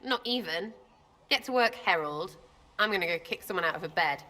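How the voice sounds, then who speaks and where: Bright, cheeky young rogue girl. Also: on the low side, lively intonation, a little fast. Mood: flippant, blunt. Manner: slangy, choppy, irreverent. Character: cheeky, blunt, scatterbrained young female rogue archer. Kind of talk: video game dialogue acting.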